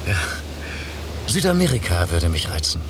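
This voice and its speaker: A deep, low male voice playing an easygoing teacher on a beach holiday. He sounds curious and conversational, in a naturalistic radio play on a hissy old cassette. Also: sing-song, normal speed. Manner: conversational, inquisitive. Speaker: actor. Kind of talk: naturalistic radio drama dialogue and narration.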